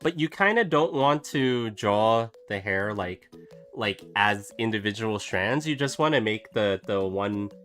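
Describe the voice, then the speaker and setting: Rambling man, bright voice, soft music. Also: on the low side, expressive, unhurried. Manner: rambling, many fillers and restarts. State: recovering from a cough. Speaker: artist streaming a drawing session. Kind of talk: casual chat while drawing. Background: soft background music.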